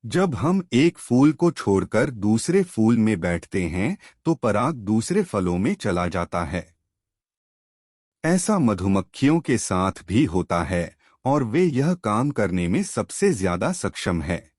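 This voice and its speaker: A man's voice: lowish voice, very animated, slow pace, a little mellow, no room sound, clean studio sound, exaggerated voice. A man voicing a wise butterfly in a storybook tale, explaining things patiently.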